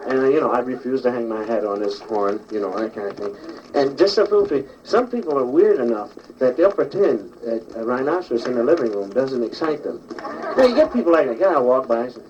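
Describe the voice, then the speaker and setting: A man's voice: mid-range voice, lively intonation, brisk, darkish tone, lo-fi sound. A man doing a casual, conversational stand-up comedy routine on a noisy old recording. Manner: casual, conversational, acts out bits.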